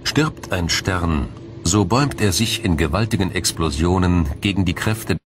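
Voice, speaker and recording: A man reading scripted science documentary narration in a measured, dramatic storytelling manner. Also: low-pitched, animated, a little hiss or hum. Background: music.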